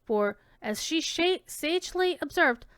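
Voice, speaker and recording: A woman giving an expressive dramatic reading of classic literary narration, with emphasis on key words. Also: high-pitched, a little slow, no echo, studio quality.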